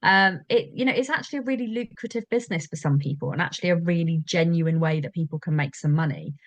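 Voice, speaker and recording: A woman's voice: lowish voice, fast, no echo. A woman hosting a podcast interview, chatty and bubbly, laughing often. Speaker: podcast host. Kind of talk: casual interview chat.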